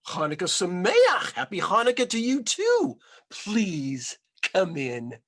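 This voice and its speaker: A very old village grandmother, as a male reader plays her, kindly and chatty, with a fussy, welcoming way. The storybook voice is raised, lively and a little husky. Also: high voice, takes it slowly, pitched above the performer's own voice, full character voice. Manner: fussy, welcoming, gently scolding. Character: kindly, chatty ninety-seven-year-old village grandmother, hard of hearing.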